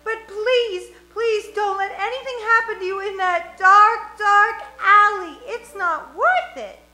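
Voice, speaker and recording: Very high, plaintive sweetheart. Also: female voice, slow pace, sharp tone, heavily acted. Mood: anxious devotion. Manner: melodramatic, plaintive. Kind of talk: comedic old-time radio play acting. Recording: roomy stage, faint music.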